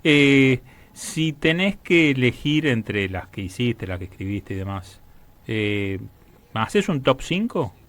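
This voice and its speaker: A man with an Argentine Rioplatense accent hosting a casual interview, agreeable and quick to acknowledge what he hears. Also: mid-range voice, very animated, a bit bright. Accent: Argentine Rioplatense Spanish. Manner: agreeable, frequent short acknowledgements. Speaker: radio interviewer and host.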